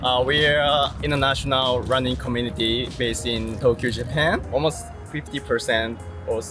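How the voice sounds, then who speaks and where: A man introducing his running community in a halting, modest way. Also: mid-range voice, steady tone. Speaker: run club organizer. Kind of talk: casual chat. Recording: music behind voice.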